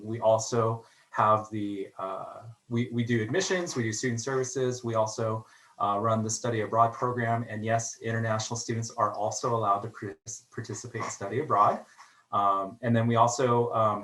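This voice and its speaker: A man from a college's international office presents an online information session for new students. He speaks in a friendly way and fills his pauses with "um" and "uh" over a video call. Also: lowish voice, fairly bright tone, poor audio. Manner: friendly, filler-heavy delivery with frequent "um" and "uh". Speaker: international student services staff member.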